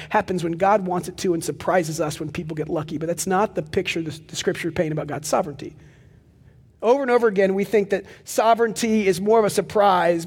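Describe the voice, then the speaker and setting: A young man preaching a sermon as pastor of a church, with a loud, projecting voice and animated storytelling asides. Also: sits high, slightly rough, big-room sound. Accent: Texan. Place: large church hall with live audience.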